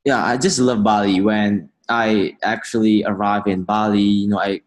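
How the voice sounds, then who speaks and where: A man in his twenties from Indonesia, an interview guest speaking earnest, halting English. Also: on the low side, fairly even intonation. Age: 20s. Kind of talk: casual interview.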